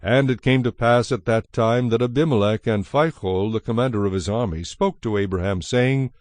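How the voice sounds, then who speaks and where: A man giving a measured, solemn narration of scripture as the narrator of a dramatized production. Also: mid-range voice, no echo. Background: soft music.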